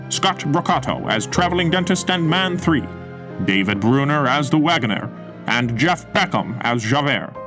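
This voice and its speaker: A male announcer reading a scripted, polished closing announcement for a radio theatre broadcast. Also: fairly high pitch. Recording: music playing behind.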